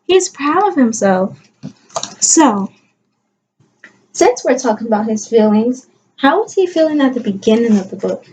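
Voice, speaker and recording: A woman kindergarten teacher giving an expressive read-aloud lesson to young children, coaxing listeners with questions and stressing key words. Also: on the high side, measured pace, pauses often, a little hiss or hum, low-quality recording.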